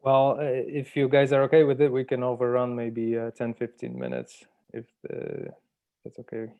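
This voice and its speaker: A man's voice: medium pitch, normal speed, creaky, clean tone. A man casually moderating a group as a workshop facilitator. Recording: slightly dull, lo-fi audio.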